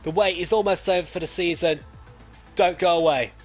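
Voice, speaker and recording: A high-pitched man calls a simulated motor race live, excitable and quick to jump up when cars collide. He drifts into rambling asides and corrects himself as he goes. Clear music plays underneath his commentary. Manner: excitable, voice jumps up at crashes and overtakes. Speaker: sim racing league commentator.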